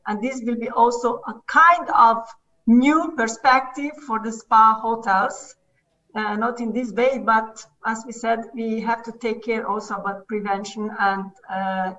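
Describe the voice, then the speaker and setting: A woman's voice: on the high side, steady tone, a little slow, fairly bright tone. A woman moderating a conference session, talking with frequent fillers and restarts. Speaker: forum moderator. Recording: distant, dull online conference audio.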